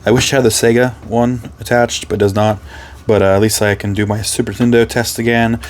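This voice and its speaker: Hoarse, low-voiced man chatting casually. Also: flat delivery, a little fast, a little hiss or hum. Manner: rambling, lots of ums and self-corrections. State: hoarse, raspy voice. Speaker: reseller vlogger. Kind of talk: casual vlog chat. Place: his resale booth and home workspace.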